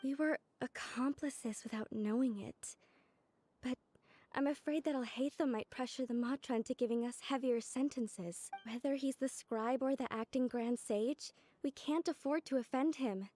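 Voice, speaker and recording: A woman's voice: high voice, moderate pace, mellow, no room sound. A woman playing an anxious academy researcher who sounds worried and hesitant.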